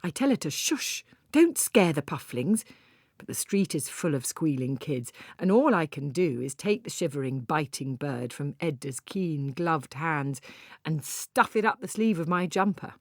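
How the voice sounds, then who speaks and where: Low-voiced woman reading a story, dry close sound. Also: animated, unhurried, no echo. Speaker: audiobook narrator. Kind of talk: scripted literary first-person narration.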